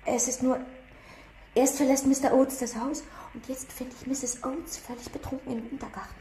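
A woman's voice: sits high, steady tone, moderate pace, mellow, reverberant, a little hiss or hum, natural voice. An actress playing an anxious, polite young female house companion in a German radio thriller. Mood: lonely, anxious.

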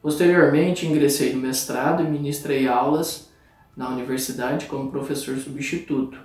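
A man's voice: mid-range voice, fairly even intonation, moderate pace, a little mellow, very echoey, distant mic, soft background music. A man reflecting in a composed way on his studies and career path, speaking from a bookshelf-lined room. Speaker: former student, now professor. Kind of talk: personal testimonial.

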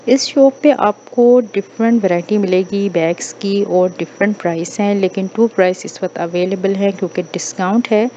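A woman's voice: medium pitch, normal speed, hardly any pauses, warm tone, highs cut off, a little hiss or hum. A woman vlogger with a Pakistani Urdu accent, casually walking through a busy bazaar and chatting about bags. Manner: chatty, informal shop tips. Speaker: shopping vlogger. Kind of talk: casual market walkthrough vlog.

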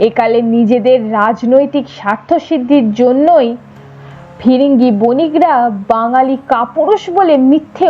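A woman narrating a scripted historical audio story in a dramatic, expressive and measured way. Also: high-pitched, lo-fi sound. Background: music behind the narration.

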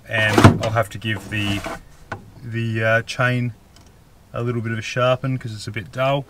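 A man's voice: fairly low pitch, a bit bright, slight background noise. Chatty Australian man, blunt and casual. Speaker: camping vlogger. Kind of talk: casual outdoor gear talk. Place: outdoors in open bushland, and in the back of a truck canopy. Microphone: camera held at arm's length.

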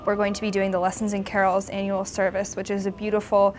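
A young woman in her twenties, a choir member and student, speaking calmly in a wood-paneled office. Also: mid-range voice, quick pace, slight background noise. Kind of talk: interview.

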